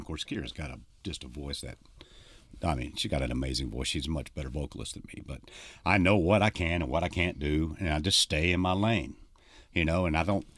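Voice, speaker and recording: A man with a deep voice, a touring country musician chatting as a podcast guest, telling stories in a rambling way full of "you know". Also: a bit bright, dry recording. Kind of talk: casual podcast conversation.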